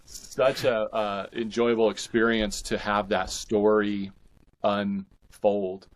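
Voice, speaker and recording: A man speaking as an author guest in a casual interview, with halting, thoughtful phrasing. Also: lowish voice, flat delivery.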